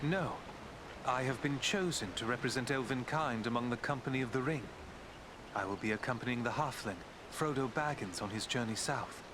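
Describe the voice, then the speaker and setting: An actor playing a noble woodland elf prince in a fantasy game, speaking in a formal, measured, solemn manner. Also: male voice, mid-range voice, lightly acted. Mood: resolute, solemn. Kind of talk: fantasy video game character acting. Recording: clear background ambient noise.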